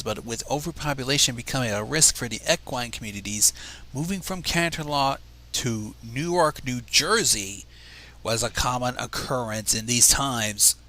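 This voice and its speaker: A man's voice: medium pitch, pushed and bright, low-quality recording. A man reading a story aloud in a dramatic, wry manner, slipping into casual asides between narrated passages. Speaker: livestream fanfic reader.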